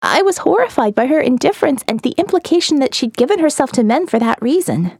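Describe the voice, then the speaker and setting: A woman's voice: high-pitched, sing-song, fast, creaky, darkish tone, no room sound, studio quality. A woman reading scripted first-person dramatic narration as the narrator of an audio novel.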